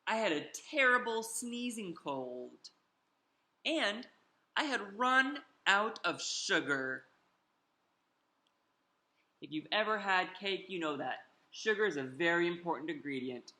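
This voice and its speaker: A sly storybook wolf, acted out by a man, pleading his own case to children. The delivery is slow and emphatic, with drawn-out words and big swoops in pitch, as if letting listeners in on a secret. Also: very animated, pushed and bright, roomy sound, heavily acted. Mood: explaining. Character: sly, self-justifying male wolf telling his side. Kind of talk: animated children's storybook read-aloud.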